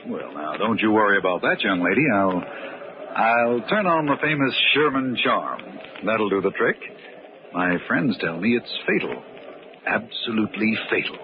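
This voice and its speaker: An actor playing a self-assured, hearty gentleman charmer in an old-time radio drama, smooth-talking and playfully boastful. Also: fairly low pitch, expressive, moderate pace, natural voice. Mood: jovial, boastful. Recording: very muffled, noisy vintage radio recording.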